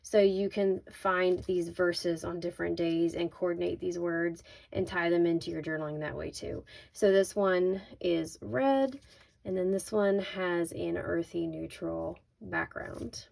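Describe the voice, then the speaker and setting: A woman with a slightly raspy voice hosting a casual craft unboxing, chatting informally and thinking aloud as she shows each item. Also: fairly low pitch, steady tone, measured pace, a little mellow. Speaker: craft video host. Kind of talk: casual unboxing product walkthrough. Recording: noisy, with crinkling handling sounds.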